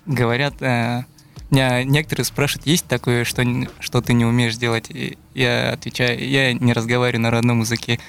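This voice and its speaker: A man's voice: mid-range voice, flat delivery, brisk, a bit bright, a little hiss or hum. A young man from Buryatia, a guest musician, answering interview questions thoughtfully and searching for his words. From Buryatia, Russia. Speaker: guest musician, beatboxer and looper.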